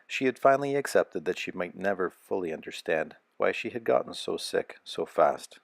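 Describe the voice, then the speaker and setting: A man narrating a children's picture book aloud as a storyteller, with a measured, expressive delivery. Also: low-pitched, gravelly, dry recording.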